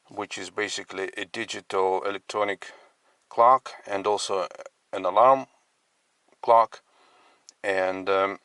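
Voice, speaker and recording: A man giving a casual product demonstration as a collector, speaking in halting, piecemeal phrases. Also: fairly low pitch, fairly bright tone, clean tone, no room sound. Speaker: radio collector presenting his collection. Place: inside a parked car.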